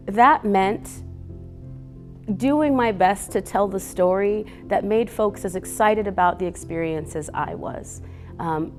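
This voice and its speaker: Warm low-voiced woman talking to kids. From Boise, Idaho. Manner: earnest, unhurried, with fillers. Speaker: guest reader speaking to children. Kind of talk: gentle talk to kids.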